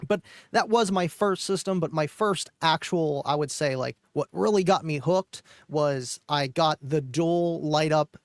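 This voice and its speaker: A man co-hosting a live stream, chatting casually about car audio in a relaxed, rambling way with many fillers. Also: on the high side, measured pace, no echo, clean studio sound.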